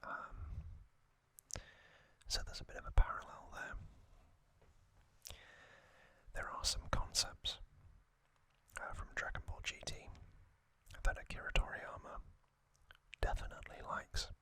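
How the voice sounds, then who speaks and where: A man hosting an ASMR video, speaking trivia and commentary in a gentle, breathy whisper with short, broken, unhurried phrases.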